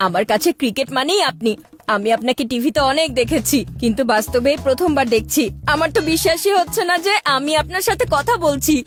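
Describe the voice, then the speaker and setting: A woman voicing an excitable young cartoon boy, an eager and dramatic cricket fan with a whiny streak. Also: high-pitched, quick pace, close to an everyday voice. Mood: pleading. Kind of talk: cartoon voice acting.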